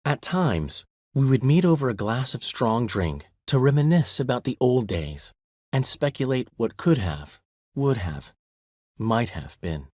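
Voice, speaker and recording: An actor playing a vain, witty middle-aged bard in a video game, speaking theatrically with playful asides. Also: male voice, mid-range voice, big pitch swings, a little slow, darkish tone, dry recording, clean studio sound. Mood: gossipy. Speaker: game character and epilogue narrator.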